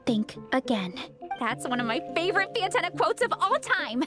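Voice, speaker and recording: A bubbly young heroine with a high voice, earnest and eager, as heard in an anime game. Music plays clearly behind the lines. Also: female voice, big pitch swings, moderate pace. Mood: upbeat, eager. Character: cheerful, ravenous young heroine, earnest comic-book fan. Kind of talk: anime-style game voice acting.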